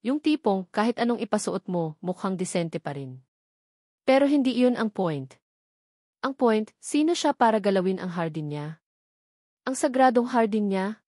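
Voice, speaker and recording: A woman's voice: mid-range voice, animated, moderate pace, long pauses, warm tone, dry recording, clean studio sound. Filipino woman narrating a romance story. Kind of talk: dramatic romance audiobook narration.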